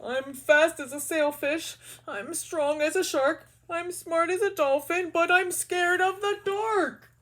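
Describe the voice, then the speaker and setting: A nervous but earnest male fish speaks in a loud, bright, sing-song voice, as a woman puts him on. He sounds pitched well above an ordinary speaking voice, like a storybook hero. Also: slow, hardly any pauses, exaggerated voice. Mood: earnest, fearful.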